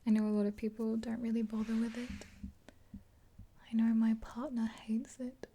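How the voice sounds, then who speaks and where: A woman in her twenties whispering softly and gently in a rambling, casual ASMR roleplay, close to the mic. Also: fairly high pitch, little pitch movement, normal speed, slight background noise. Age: 20s. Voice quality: breathy whisper. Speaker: ASMR roleplayer as makeup artist.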